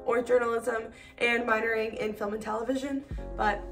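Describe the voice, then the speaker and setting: A woman's voice: on the high side, level tone, normal speed, a bit bright. A young woman from North Carolina, a college student, introducing herself casually and a little unsure. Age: young adult. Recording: distant from mic, faint music.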